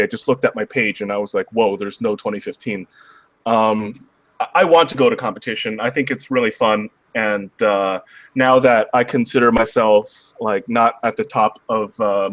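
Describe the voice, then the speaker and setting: A man with a slightly hoarse voice chatting casually as a livestream guest, halting often as he thinks aloud. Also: fairly low pitch, a bit bright. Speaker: interview guest, cube shop seller and video maker.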